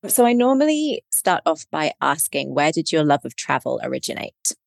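A woman's voice: deep voice, sing-song, moderate pace, creaky, no echo, clean studio sound. A woman hosting a podcast, reading an introduction and asking upbeat interview questions. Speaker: podcast host.

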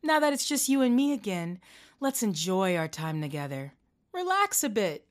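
A woman's voice: mid-range voice, big pitch swings, moderate pace, close to an everyday voice. A woman playing a late-night radio host who turns from outraged to coldly menacing in an audio drama. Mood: calm menace. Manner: indignant then coldly menacing. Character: female late-night radio host turned vengeful killer.

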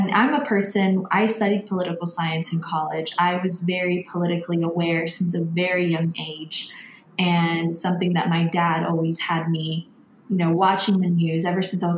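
A woman's voice: fairly low pitch, echoey room, a little hiss or hum, rough recording. A woman hosting a casual interview, talking earnestly in long reflective runs. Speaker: podcast host.

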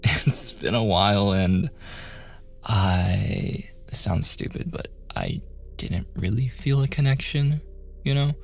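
A young man acting a playful, teasing younger brother in a soft, intimate boyfriend roleplay with casual, hesitant phrasing. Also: lowish voice, takes it slowly, gravelly, exaggerated voice. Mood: sheepish, defensive.

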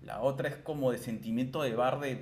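A man's voice: mid-range voice, fairly quick, a little mellow, slight background noise. A man hosting a casual radio chat about music, teasing and laughing often. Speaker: radio host.

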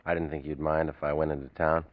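A cool drifter hiding out in a 1940s radio drama. His voice is very low, his talk laconic and unruffled. The recording is old and dull. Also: level tone, quick pace, dry recording. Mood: casual.

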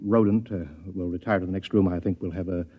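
An actor playing a cautious, officious government official in an old radio comedy, measured and bureaucratic. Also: male voice, deep voice, fairly even intonation. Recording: muffled old radio recording.

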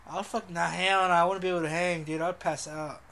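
A man's voice: sits high, steady tone, a little fast, creaky, a bit bright, a little hiss or hum. A young man co-hosting a casual podcast, laid-back and swearing constantly, often trailing off mid-thought. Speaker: podcast co-host. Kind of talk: casual profane chat.

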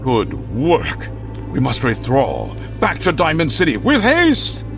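A man's voice: mid-range voice, huge pitch swings, takes it slowly, a bit bright, soft background music, exaggerated voice. A man playing a grandiose, righteous caped vigilante in old-time radio drama style, with pompous, melodramatic proclamations. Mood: righteous fury.

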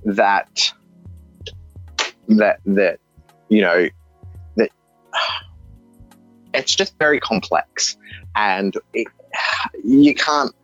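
A man with an Australian accent speaks slowly and earnestly, stumbling over and restarting his phrases as he reflects. His voice is fairly low. The recording sounds dull and dry, with faint music underneath. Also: a bit bright, dry recording. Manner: halting, earnest, with frequent restarts. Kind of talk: reflective personal testimony.

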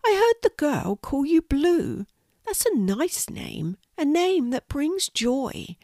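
A woman voicing a tiny, timid male spider in a children's storybook, gentle and sad, with a plaintive, tentative delivery. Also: sits high, very animated, slow, no echo, studio quality, pitched above the performer's own voice, exaggerated voice. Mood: shy, friendly.

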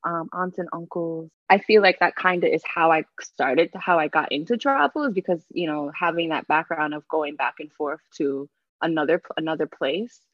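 A woman's voice: low-pitched, normal speed, no room sound, highs cut off. A woman from New York, born in Jamaica, talking casually as an interview guest and looping back over her phrases in an informal, rambling way. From Jamaica-born, raised in Yonkers and Mount Vernon, New York. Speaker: podcast guest, travel writer.

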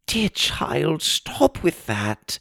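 A man's voice: a little high, very animated, very slow, creaky, no echo, clean studio sound, voice pushed up, exaggerated voice. A man playing a weary pleading mother. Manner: soft, pleading. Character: weary pleading mother fairy, older woman.

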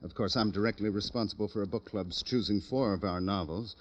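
Casting: self-important male publisher, played by an actor, wordy and fussy, deep register, dull old radio-serial sound. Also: brisk, natural voice. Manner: pompous, talkative. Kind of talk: 1950s radio drama acting.

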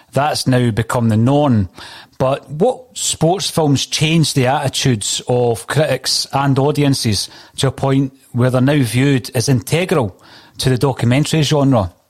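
A man hosts a podcast and asks measured, prepared questions at a mid pitch. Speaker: podcast host. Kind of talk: interview questions.

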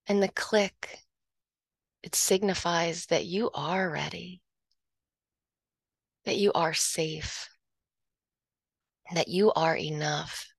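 A woman leading a guided hypnosis meditation, speaking in a slow, soothing, hypnotic way and dropping softly into whispers. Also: on the low side, vocal fry, no room sound, studio quality.

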